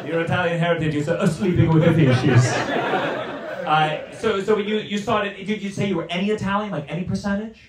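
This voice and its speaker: A man in his thirties, a stand-up comedian doing crowd work on a club stage with a handheld mic, talking in an animated, incredulous way. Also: high voice, brisk, distant mic. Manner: animated, incredulous, laughing often. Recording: roomy club sound with crowd laughter.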